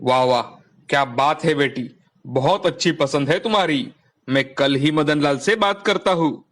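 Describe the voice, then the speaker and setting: A man voicing a doting, excitable storybook father who exclaims and repeats his words for emphasis. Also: fairly high pitch, a little slow. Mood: delighted. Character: doting, excitable father of two daughters, remorseful and devout.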